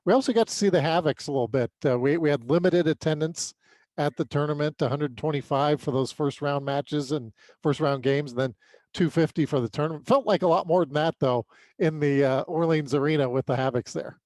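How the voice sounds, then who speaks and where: An American man co-hosting a sports podcast, giving an unscripted recap in a halting way with repeated words. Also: on the high side, darkish tone, dry recording.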